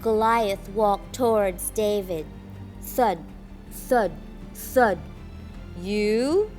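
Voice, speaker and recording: Female children's storyteller: unhurried, dramatic read-aloud, higher register. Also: lively intonation, faint music behind. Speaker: storyteller, teacher.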